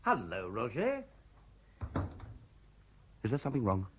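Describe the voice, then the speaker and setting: A man's voice: medium pitch, huge pitch swings, unhurried, a bit bright, slight background noise. A man playing a self-important, anxious businessman husband in a radio play, with a pompous manner. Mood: concerned. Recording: 1970s radio drama recording.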